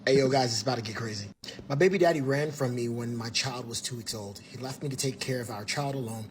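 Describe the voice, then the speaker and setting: A man reading a story post aloud quickly, with dramatic asides between lines. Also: medium pitch, a little hiss or hum, natural voice.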